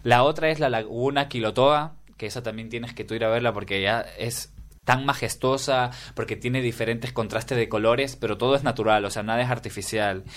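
A man's voice: mid-range voice, brisk. A young man from Ecuador with an Ecuadorian accent, chatting casually as an interview guest, enthusiastic and often restarting his sentences.

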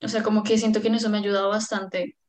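A woman's voice: medium pitch, flat delivery, very fast, darkish tone, a little hiss or hum. A woman, chatting in a friendly way with casual fillers. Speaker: student guest. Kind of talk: casual conversation.

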